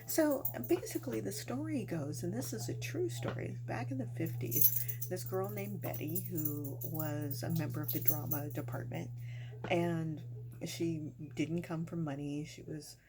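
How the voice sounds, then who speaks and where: Low-voiced woman telling stories, noisy humming recording. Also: measured pace. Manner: chatty, folksy, colloquial phrasing. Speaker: host of a storytelling channel. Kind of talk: casual ghost storytelling to viewers. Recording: noisy home recording with clear hum.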